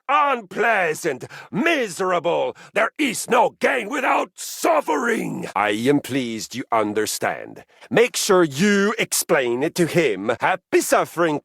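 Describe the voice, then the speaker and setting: An actor playing a boastful, brutal Viking-like fitness object in a video game, grandiose and formal, with a gravelly, bellowing voice. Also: male voice, on the high side, a bit bright, no room sound, heavily acted. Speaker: dating game character. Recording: dry studio recording.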